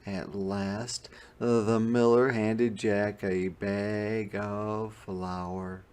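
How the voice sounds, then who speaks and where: A man narrating a children's picture book in a deliberate, expressive storytelling manner. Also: fairly low pitch, clear, resonant tone. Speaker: storybook reader. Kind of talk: scripted children's read-aloud narration.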